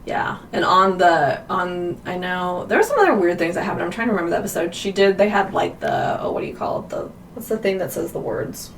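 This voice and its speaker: Female podcast co-host, lowish voice, rambling casual storyteller, recorded a bit off-mic. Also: expressive, fairly quick, few pauses. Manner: rambling, heavy use of "like". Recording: distant mic with background noise.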